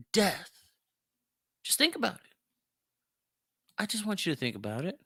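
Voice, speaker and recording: A man's voice: high-pitched, bright, forceful tone, no echo, clean studio sound. A man hosting a solo opinion podcast, speaking emphatically and repeating phrases for effect. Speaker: podcast host.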